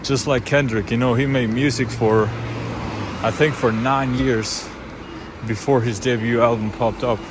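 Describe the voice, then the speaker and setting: A man's voice: medium pitch, fairly even intonation, measured pace, noisy background. A young Swiss man with a Swiss German accent, rambling casually to camera in a car, full of fillers and restarts. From Switzerland. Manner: loose, unscripted, many fillers and restarts. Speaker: vlogger.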